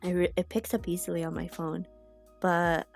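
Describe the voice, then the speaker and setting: Sniffly, gravelly-voiced young woman rambling. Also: lowish voice, monotone, normal speed, a little mellow, faint music behind. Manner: chatty, rambling, loses her train of thought with many fillers. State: sniffly from allergies. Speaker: art streamer. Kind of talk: casual rambling livestream chat. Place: home. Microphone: headset mic.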